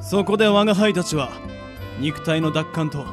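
A man plays a proud, ancient demon lord in an anime-style radio drama. His voice sits fairly high for a male, declaiming in grand archaic phrases, with music clearly under it. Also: moderate pace, lightly acted. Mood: grave, proud.

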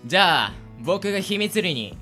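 A man co-hosts a relaxed radio chat in a slightly high, very varied voice. He banters politely and agreeably, with soft guitar music underneath. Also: moderate pace. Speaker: radio show co-host. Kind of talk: casual bantering group chat.

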